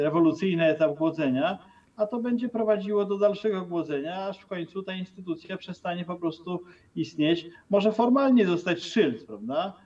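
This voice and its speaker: A man's voice: on the high side, a little mellow. A middle-aged man commenting as a guest over a video call from a room with bookshelves, hesitant, with many fillers and restarts. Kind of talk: remote TV interview commentary. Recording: distant, dull video-call sound.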